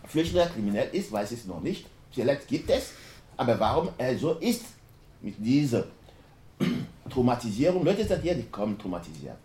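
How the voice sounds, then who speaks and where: Halting man speaking in an echoey hall. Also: medium pitch, dark tone. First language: not German. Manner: halting, broken German with many restarts. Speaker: panel speaker, refugee. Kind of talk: panel discussion talk. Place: university lecture hall. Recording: roomy hall echo.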